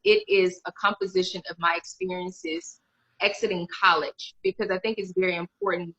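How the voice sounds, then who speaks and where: A woman in her thirties talks openly as a guest author, circling back and restarting her thoughts as she goes. Her voice is bright and forward. It reaches us through a video call with dull, lo-fi livestream audio. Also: lowish voice, fairly even intonation, moderate pace, husky. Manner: candid, rambling, many restarts. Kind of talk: casual interview chat.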